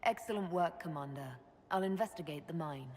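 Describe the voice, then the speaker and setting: An actress playing a composed, authoritative heroine in a video game, direct and formal. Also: female voice, lowish voice, fairly quick, close to an everyday voice. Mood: composed, resolute. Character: composed, authoritative female leader, a mage heroine. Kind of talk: dramatic video game cutscene acting. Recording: hall reverb with background noise.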